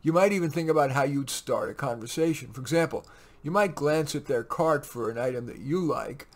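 A man in his sixties giving a scripted advice talk to camera in a measured, lightly wry way, recorded on a webcam in a small home office. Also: medium pitch. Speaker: host.

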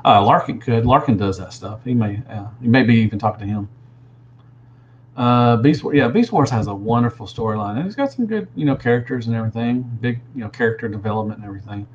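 A man's voice: mid-range voice, dull audio, a little hiss or hum. A man in his thirties with a Southern drawl, chatting casually on a livestream from a room at home on a headset, rambling and saying "man" a lot. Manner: relaxed, rambling, drawn-out fillers, says "man" a lot. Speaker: toy reviewer answering live chat. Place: small room at home, indoors. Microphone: gaming headset.

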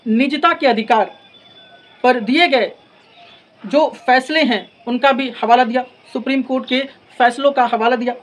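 High-pitched young man lecturing. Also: fairly bright tone, a little hiss or hum, rough recording. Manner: deliberate, phrase-by-phrase delivery with repetition for emphasis. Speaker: law lecturer. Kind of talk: explanatory lecture with English legal terms mixed in. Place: in front of a classroom blackboard. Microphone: lapel mic.

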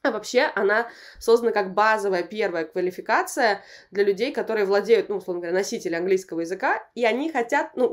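A woman in her thirties chats over a video call at a quick clip, her pitch sitting in the middle range. She talks loosely, searching for words and laughing as she goes. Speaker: host. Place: home room with guitar on wall.

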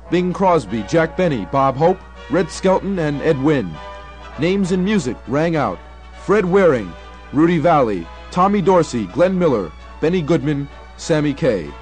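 A young man narrating a scripted radio documentary in a formal, measured announcer's manner. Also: medium pitch, darkish tone. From United States. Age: young adult.

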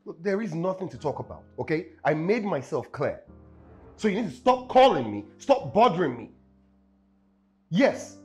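An actor with a Nigerian accent playing a hot-tempered, domineering young house owner in a film drama, scornful and haranguing. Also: male voice, fairly high pitch, sing-song, moderate pace, long pauses, faint music behind. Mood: curt, fed up.

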